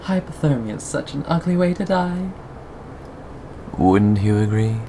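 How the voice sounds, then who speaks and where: A performer of unclear sex playing a sadistic, possessive cannibal captor in an ASMR roleplay, lingering on words with mock tenderness and soft menace. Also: medium pitch, expressive, a little slow, a little hiss or hum. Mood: menacing, gloating. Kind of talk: ASMR roleplay, scripted monologue to listener.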